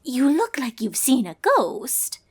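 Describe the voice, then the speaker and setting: A woman voicing earnest, easily flustered young female cartoon ponies in a story reading, expressive and dramatic. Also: high-pitched, slow pace, breathy edge, voice pushed up, full character voice. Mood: concerned, flustered. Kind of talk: character dialogue in a fan story reading.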